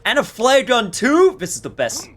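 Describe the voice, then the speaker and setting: A man's voice: very high-pitched, huge pitch swings, moderate pace. A man doing casual let's-play commentary, playfully reacting and muttering to himself, with some swearing. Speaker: game streamer. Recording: faint background noise.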